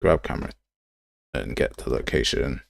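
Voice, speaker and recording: A man's voice: rumbling low, moderate pace, creaky, dry recording. A man hosting a game development livestream, talking casually and thinking aloud as he works in a rambling, informal, self-deprecating way. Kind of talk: casual live tutorial, thinking aloud while working.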